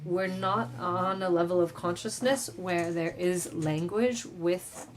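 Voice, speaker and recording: A woman interpreting a question-and-answer session on a video call, speaking in a measured, halting way with pauses. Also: lowish voice, a little hiss or hum.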